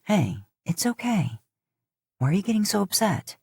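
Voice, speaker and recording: A woman voicing a teasing, stubborn young cowboy husband in a low, soft, coaxing voice. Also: sing-song, moderate pace, husky, dry recording, clean studio sound, exaggerated voice. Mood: reassuring.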